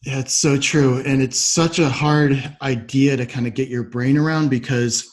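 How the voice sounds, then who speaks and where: A man with a medium-pitched voice hosts a podcast conversation. He sounds agreeable and thoughtful, working through his ideas in long, loosely rambling sentences. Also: fairly even intonation, normal speed. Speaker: podcast host. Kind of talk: conversational interview.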